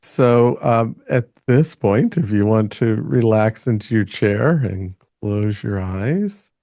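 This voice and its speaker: A man leading a guided meditation in a gentle manner, speaking in halting phrases with long pauses between them. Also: fairly low pitch, animated, dry recording, clean studio sound.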